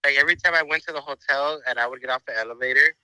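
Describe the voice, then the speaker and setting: Man on video call, very bright, smooth voice, flirty teasing banter, dry sound. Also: mid-range voice, very fast, no echo, rough recording. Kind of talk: casual banter.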